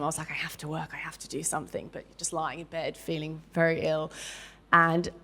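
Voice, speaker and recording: A middle-aged Australian woman speaks in a low register, telling her story in a loose, candid way. She rambles, restarts her sentences and fills gaps with plenty of ums, like a founder chatting openly on a panel. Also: a bit bright. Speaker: business founder, panel guest. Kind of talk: candid panel talk at a live event.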